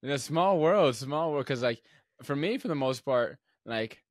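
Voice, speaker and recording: A man's voice: medium pitch, normal speed, dry recording. Young man hosting in a dry room. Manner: relaxed, rambling storyteller with many fillers and restarts. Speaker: podcast host. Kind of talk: casual podcast conversation.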